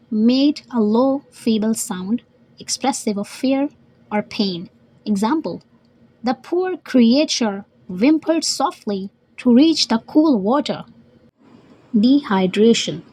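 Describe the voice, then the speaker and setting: A woman's voice: a little high, a little mellow, breathy edge, low-quality recording. A woman reading a scripted educational story as narrator and vocabulary teacher.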